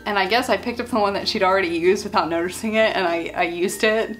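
A woman's voice: mid-range voice, quick pace, a bit bright, soft background music, poor audio. A young woman in her twenties chatting animatedly to a webcam in a small bedroom, telling stories and acting out what her friends said. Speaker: vlogger. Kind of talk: casual storytime chat.

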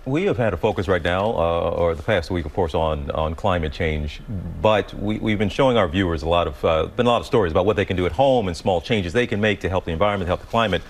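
A male news anchor with a very low voice asks questions from a television studio. He speaks conversationally, with a few halting pauses. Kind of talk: news show interview questions.

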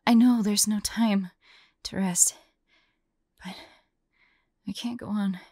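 A woman playing a devoted young heroine in an audio roleplay, halting and breathy, often dropping into whispers. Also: mid-range voice, fairly even intonation, a little mellow, dry recording, studio quality. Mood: exhausted, urgent. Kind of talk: intimate acted audio roleplay.

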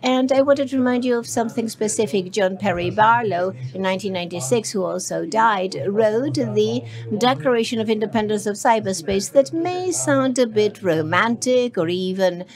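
Female simultaneous interpreter, mid-range voice, halting live delivery, audience audible in the hall. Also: medium pitch, crowd noise. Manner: halting, many fillers and restarts. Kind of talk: live interpretation of a conference speech. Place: conference hall.

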